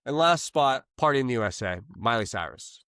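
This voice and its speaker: A man co-hosting a podcast, talking loosely and profanely about songs, rambling and excitable. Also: mid-range voice, fairly quick, clean tone, dry recording, studio quality. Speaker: podcast co-host. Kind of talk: casual chat. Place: lives in Los Angeles.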